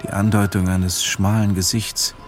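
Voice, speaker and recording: Actor as deep, slow, haunted narrator. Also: male voice, level tone, natural voice. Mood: haunted, sombre. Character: sombre, haunted narrator of grim wartime sights. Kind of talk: slow literary radio drama narration. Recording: loud music behind the narration.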